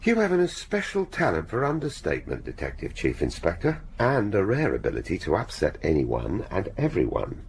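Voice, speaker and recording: An irritated, pompous male police chief in a radio drama. His low voice moves widely up and down as he scolds in formal phrases. There is faint noise behind him. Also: wildly swooping pitch, fairly quick, gravelly, hardly any pauses, exaggerated voice. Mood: stern, irritated. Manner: pompous, clipped formal speech. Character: stern, irritated male senior police superior.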